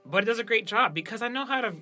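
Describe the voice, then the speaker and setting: A man's voice: sits high, very fast, bright, forceful tone, faint music behind. A man hosting a podcast in casual chat, speaking in an emphatic, plain-spoken, informal way. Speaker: podcast host and audio drama producer.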